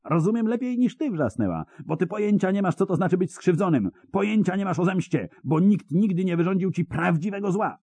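A man voicing a defiant teenage girl witcher with a sharp, cutting manner. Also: mid-range voice, expressive, normal speed, slightly rough, dry recording, voice pushed up. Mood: furious.

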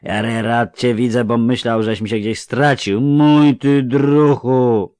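A man's voice: mid-range voice, steady tone, slow, a little mellow, no room sound, studio quality, voice pushed up, close to an everyday voice. A man voicing a drunk, boastful young country lad who talks in a slurred rustic dialect. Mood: tipsy.

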